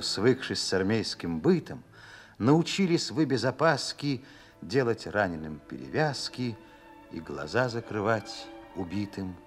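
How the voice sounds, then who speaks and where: A man reciting verse as narrator of a radio play, in a solemn, measured manner. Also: lowish voice. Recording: music behind.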